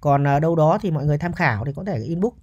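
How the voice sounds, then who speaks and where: A man's voice: on the high side, expressive, racing, a little mellow, highs cut off. A man giving a casual, chatty property walkthrough as a real estate agent, often ending points with a checking question.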